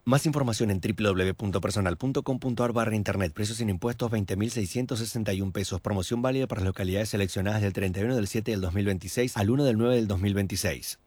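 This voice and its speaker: A man with an Argentine accent reading a radio advertisement in a steady, promotional manner. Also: on the low side, fast talker, few pauses, slightly rough, no echo. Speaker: ad announcer. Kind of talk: radio ad read.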